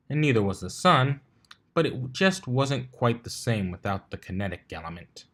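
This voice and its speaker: A man reading fiction aloud as a narrator, in an expressive storytelling style. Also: fairly low pitch.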